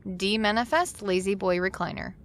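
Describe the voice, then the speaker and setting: A woman's voice: mid-range voice, normal speed, a little hiss or hum, natural voice. A woman playing a grateful, awestruck young newcomer to a futuristic city in an audio drama. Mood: delighted.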